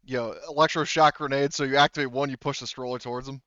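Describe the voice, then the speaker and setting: Smooth, dry-miked man explaining casually. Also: medium pitch, fairly even intonation, fast, pushed and bright, dry recording, lo-fi sound. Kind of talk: casual tabletop roleplay chat.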